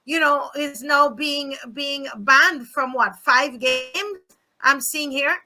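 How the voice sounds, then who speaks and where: A woman's voice: sits high, takes it slowly, over music. A woman hosting an interview, asking probing follow-up questions and recapping points for viewers. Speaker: interview host. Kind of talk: interviewing a guest.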